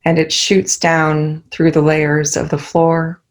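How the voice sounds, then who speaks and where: A young woman leading a guided meditation, giving soft, soothing instructions. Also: deep voice, monotone, takes it slowly.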